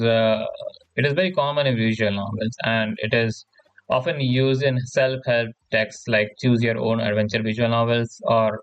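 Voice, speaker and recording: A man giving an informal tutorial lecture in a halting, repetitive way, often checking in with the listener. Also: medium pitch, no echo, highs cut off. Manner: halting, repetitive, often seeks agreement with "right?". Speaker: tutorial presenter. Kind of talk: informal lecture explaining slides.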